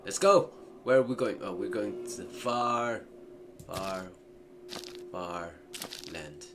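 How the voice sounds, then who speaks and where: A man's voice: fairly low pitch, slow pace, background noise. A man giving casual playthrough commentary, chatty and reacting aloud to a story with questions. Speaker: gaming content creator playing a visual novel. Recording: music playing behind the voice. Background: game music.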